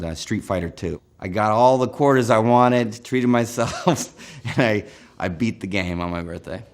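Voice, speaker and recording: A man in his thirties from Northern California, telling relaxed stories in an interview with lots of fillers. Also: sits low, gravelly. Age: 30s. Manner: relaxed storytelling with frequent fillers. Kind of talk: casual anecdotal interview.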